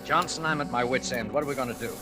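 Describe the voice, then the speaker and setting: A man's voice: medium pitch, racing, music in the background, natural voice. An actor playing an anxious, worn-down male diner owner who falters and placates, in a 1940s radio drama style. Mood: worried. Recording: hissy vintage radio broadcast.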